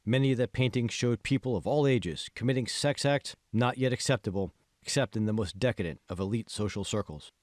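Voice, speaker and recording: A man reading scripted fiction as an audiobook narrator, in a measured, phrase-by-phrase way. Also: medium pitch, darkish tone, dry recording, clean studio sound. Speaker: author reading his own audiobook.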